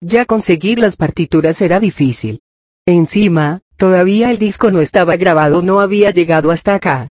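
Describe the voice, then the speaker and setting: A narrator reads a scholarly text aloud at a medium pitch. The delivery is formal and measured, clearly scripted, with references read out in the same careful way. The sound is close and dry, free of room echo. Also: a little mellow.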